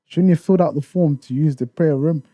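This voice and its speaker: A man's voice: on the high side, fast, no room sound, muffled recording. A man raised in the UK, talking casually as a podcast guest about touring in Japan, with many restarts and fillers. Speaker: guest, Japan tour organizer.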